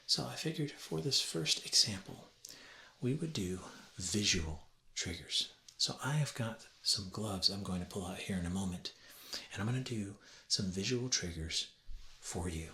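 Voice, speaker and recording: A man, perhaps in his thirties, gently and deliberately explaining things as a teacher in a soft-spoken ASMR roleplay lesson. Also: sits low, expressive, gravelly. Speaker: ASMR creator playing a teacher. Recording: roomy echo with faint ambient noise.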